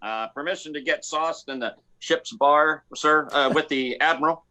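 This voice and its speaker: A man playing a cocky, free-spirited space pilot in a comic radio play, rambling and repeating himself in halting bursts. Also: fairly high pitch, sharp tone, rough recording. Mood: eager.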